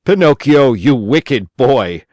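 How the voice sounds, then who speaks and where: Storybook old father, a woodcarver played by a male narrator: exasperated, exclamatory, very animated pitch, dry close sound. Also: slow, no room sound. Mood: scolding, sorrowful. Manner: exclamatory, scolding and lamenting. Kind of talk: storybook character voice in audiobook.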